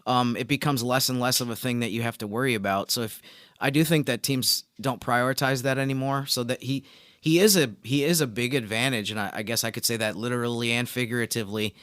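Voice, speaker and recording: A man co-hosting a sports podcast, giving conversational analysis and explaining his points step by step in a measured way. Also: mid-range voice, steady tone, a bit bright, dry recording, clean studio sound.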